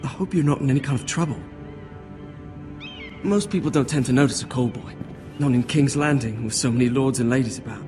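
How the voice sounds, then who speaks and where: An actor playing a humble, knowing young servant in a fantasy video game, polite and insinuating. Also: male voice, medium pitch, a little fast, long pauses, lightly acted. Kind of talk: dramatic video game acting. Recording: music behind the voice.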